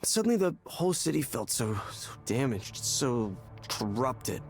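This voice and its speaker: Hollow despairing man, creaky voice, hesitant, halting, naturalistic game drama, faint music behind. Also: mid-range voice, very animated. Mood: anguished, bitter. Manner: hesitant, halting, repeating words as he searches. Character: despairing, hollow man confiding in a counseling session. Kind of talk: naturalistic visual novel game acting.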